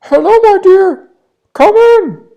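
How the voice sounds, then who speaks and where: A sly storybook wolf poses as a frail granny. The voice is a very high, thin falsetto, strained and sugary. Words are stretched out loudly to fool a child. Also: lively intonation, slow pace, creaky, lo-fi sound, full character voice. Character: big bad wolf faking a frail sweet grandma voice.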